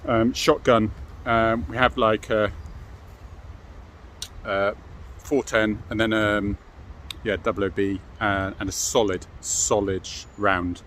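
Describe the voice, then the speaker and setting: A man's voice: on the low side, little pitch movement, slow, fairly bright tone, slight background noise. A middle-aged British man giving an informal, explanatory monologue as a former police officer, frank and matter-of-fact, often adding tag questions.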